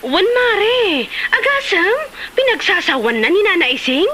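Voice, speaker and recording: A woman's voice: very high voice, very animated, measured pace, pushed and bright, full character voice. A woman playing a talkative, gossipy village neighbour in a comedy drama, speaking with an Ilocano accent. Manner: exclamatory, gossipy. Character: talkative village woman siding with an offended elder. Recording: slight background noise.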